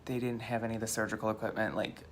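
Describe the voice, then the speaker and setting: Chatty man with a high voice. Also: fairly even intonation, racing, slight background noise. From Minnesota. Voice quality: slightly hoarse. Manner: chatty, rambling, self-deprecating. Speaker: vlogger. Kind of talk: casual storytelling vlog.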